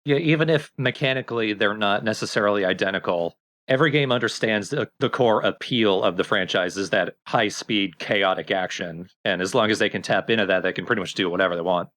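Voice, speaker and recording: A man with a somewhat low voice gives casual gaming commentary. He speaks as a co-commentator in an unscripted conversation, offering his opinions as they come. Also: a little fast, fairly bright tone.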